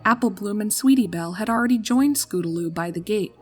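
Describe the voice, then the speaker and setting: A woman's voice: mid-range voice, fairly quick, breathy edge. Woman narrating a story over music. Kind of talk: scripted dramatic story narration. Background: background music.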